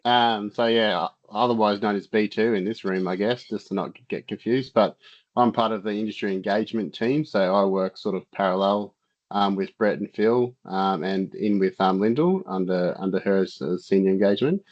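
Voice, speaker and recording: A man's voice: lowish voice, moderate pace, muffled recording. A man introducing himself at a meeting, casual and jokey with a rambling flow. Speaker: industry engagement staff. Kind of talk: self-introduction.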